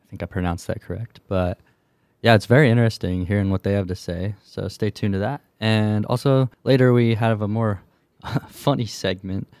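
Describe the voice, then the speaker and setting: A young man hosting a school podcast, casual and relaxed with a few fillers. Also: lowish voice, normal speed, no room sound. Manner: relaxed, easygoing, some fillers. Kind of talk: casual student podcast intro. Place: school room.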